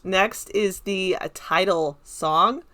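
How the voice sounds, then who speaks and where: A woman's voice: mid-range voice, slow, bright, forceful tone, a little hiss or hum. A woman giving casual, unscripted film commentary in a rambling, enthusiastic, conversational way. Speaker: video essayist reviewing a film.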